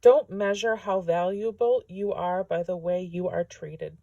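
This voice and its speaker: A woman's voice: lowish voice, fairly even intonation, measured pace, vocal fry, mellow, dry recording, highs cut off. A woman voicing a kind, wise horse from a storybook in a comforting, reassuring manner.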